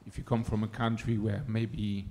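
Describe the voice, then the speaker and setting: A man's voice: fairly low pitch, level tone, moderate pace, vocal fry, dark tone. A man from Luxembourg, a screenwriter-director speaking from the floor in a thoughtful way with many fillers. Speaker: audience member, screenwriter-director. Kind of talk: question from the floor. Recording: hall reverb.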